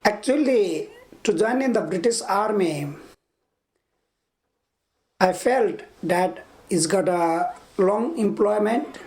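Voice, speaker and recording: A man in his fifties with a Nepali accent recounts his own life in an interview. He talks very slowly and haltingly, measuring out each phrase. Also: high-pitched, darkish tone, rough recording. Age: 50s. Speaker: interviewee, army veteran.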